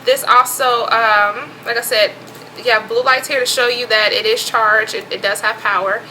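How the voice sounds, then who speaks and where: A woman with a fairly high, bright voice chats informally through a product show-and-tell. Her delivery is conversational and full of asides. Faint background noise sits behind her. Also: fairly even intonation, moderate pace, poor audio. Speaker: vlogger presenting emergency gear.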